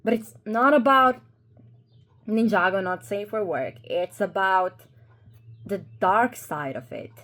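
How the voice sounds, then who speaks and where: A woman from Croatia with a Croatian accent, English not her first language, speaking in a halting, earnest way with many pauses and restarts in a commentary vlog from her desk. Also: mid-range voice, fairly bright tone. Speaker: YouTuber. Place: at a desk with two monitors.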